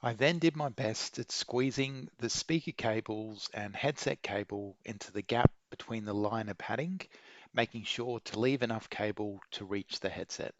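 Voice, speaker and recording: A man reading a scripted how-to narration in a measured, methodical way. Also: fairly low pitch, dry recording, highs cut off. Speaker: video maker giving an installation tutorial.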